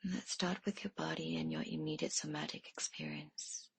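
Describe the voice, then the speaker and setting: A woman leading a guided meditation in a soft, hushed way, leaving pauses between phrases. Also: low voice, huge pitch swings, gravelly, slightly rough, dry recording.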